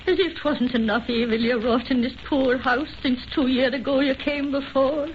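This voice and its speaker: A fierce, shamed older Irish mother played by a woman scolds in declamatory radio-drama style. Her voice sits fairly high. The old recording is muffled, with faint noise. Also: fairly even intonation, normal speed, lightly acted. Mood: bitter.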